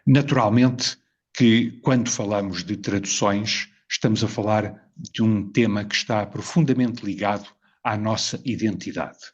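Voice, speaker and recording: A Portuguese man with a low, husky voice speaks in a measured, reflective way, weighing each idea and putting rhetorical questions to his audience. The recording is dull and somewhat lo-fi. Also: steady tone. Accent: European Portuguese. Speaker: video presenter. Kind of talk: reflective spoken essay.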